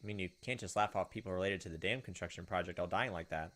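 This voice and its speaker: Low-voiced, fast-talking male gaming commentator. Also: fairly bright tone. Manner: casual. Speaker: gaming video host. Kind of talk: let's play commentary mixed with reading game text aloud.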